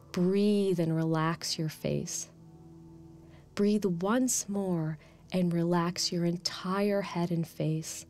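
A woman playing a calm, nurturing guide who leads children through a meditation in a soft, soothing, gently instructing way. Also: lowish voice. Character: Dynamo Spiritus, calm nurturing meditation guide addressing youngsters. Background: background music.

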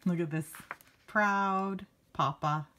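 A woman's voice: fairly low pitch, fairly even intonation, takes it slowly, a bit bright. A woman reading a picture book aloud to children like a teacher, expressively stretching key words and adding casual asides. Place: indoors, book held before a handheld camera.

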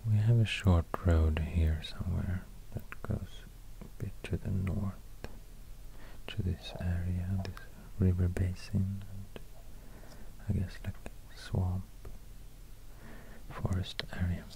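A man with a Swedish accent giving soft-spoken, whispered ASMR in a hushed, halting way, close to a binaural microphone. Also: low-pitched, flat delivery, creaky, noisy background. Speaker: ASMR host.

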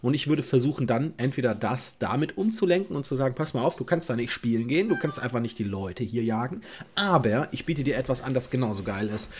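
A man's voice: medium pitch, animated, quick pace, a little hiss or hum. A middle-aged man, a dog trainer, talking through a viewer's question in his home office, casual and thinking aloud with fillers and restarts. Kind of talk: advice video, reading and answering a viewer letter. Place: home office with bookshelves, indoors.

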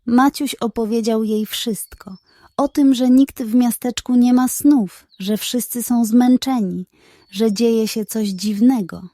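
A woman's voice: a little high, fairly even intonation, takes it slowly, mellow, no room sound, clean studio sound. A woman narrating a scripted children's bedtime story, telling it carefully and expressively. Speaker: narrator of children's audio stories.

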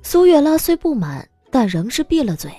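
A woman's voice: high voice, big pitch swings, normal speed, dark tone, no echo, soft background music. A woman narrating a scripted audiobook story as the narrator of a drama.

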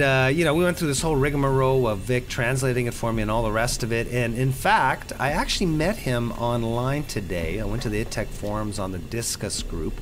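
A man giving casual, rambling play-through commentary as a gaming video host. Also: mid-range voice. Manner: chatty, rambling, jumpy exclamations. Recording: noisy voice-over with game sounds. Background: video game sounds.

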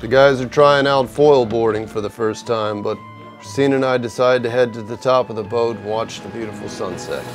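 Give me a relaxed man narrating a sailing vlog over loud music. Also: medium pitch, normal speed. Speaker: vlogger and filmmaker.

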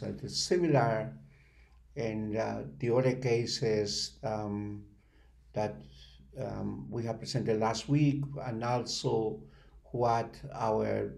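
An older man giving halting, deliberate remarks on a video call, with many pauses. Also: lowish voice, vocal fry, darkish tone. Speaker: network spokesperson. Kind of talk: webinar remarks. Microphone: video call, computer microphone.

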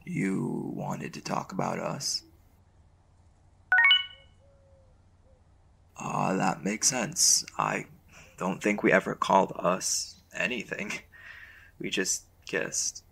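A man performs a young, lovestruck male college mage for a boyfriend roleplay. His voice is low and heavily fried, and he talks slowly in halting, casual phrases, earnest and a little unsure of himself. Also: fairly even intonation, vocal fry, fairly bright tone, slightly rough, full character voice. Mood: casual, tentative. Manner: hesitant, halting, casual slangy delivery. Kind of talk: intimate boyfriend audio roleplay.

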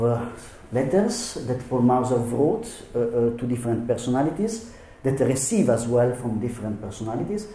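A man presents historical documents at a symposium in a hesitant, filler-laden way with non-native phrasing. His tone is mellow and dark. The room adds a noticeable echo. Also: medium pitch. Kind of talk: conference presentation. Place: lecture hall. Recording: echoey hall.

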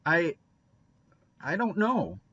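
A man's voice: mid-range voice, very animated, a little slow, fairly bright tone, dry recording, dull audio, voice pushed up, exaggerated voice. A man voicing a guilty, desperate little boy in a storybook, with childish, ungrammatical country speech. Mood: nervous, evasive. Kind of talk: storybook character reading.

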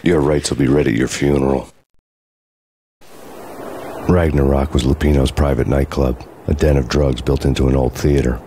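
An actor playing a grim, world-weary renegade cop, narrating in a deadpan, brooding noir style. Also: male voice, a bass voice, moderate pace, pauses often, slight background noise. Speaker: protagonist and narrator.

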